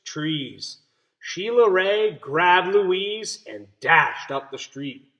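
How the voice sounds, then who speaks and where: A man's voice: high voice, fairly bright tone, poor audio. A man reading a picture book aloud as a teacher, explaining and putting questions to young listeners. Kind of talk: children's story read-aloud with questions to listeners.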